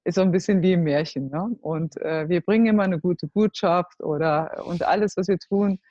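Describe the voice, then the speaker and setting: A woman in her forties from Germany telling her life story as a guest, earnest and halting, often searching for words. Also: fairly low pitch, warm tone, no echo. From Germany (Cologne). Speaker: entrepreneur, guest. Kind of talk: personal life story in a group talk. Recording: dull, dry close recording.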